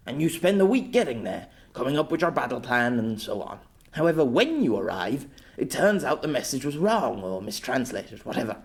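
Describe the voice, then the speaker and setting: A man's voice: mid-range voice, big pitch swings, normal speed. A man voicing a stuffy, proud old former knight with a formal, hesitant manner, in an audiobook story. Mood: explaining.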